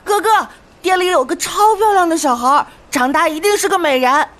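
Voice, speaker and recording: A woman's voice: very high voice, moderate pace, fairly bright tone, slight background noise. A woman voicing a spirited, stubborn little boy, a cheeky younger brother with a childish, defiant way of talking, in an audio comic drama. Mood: eager.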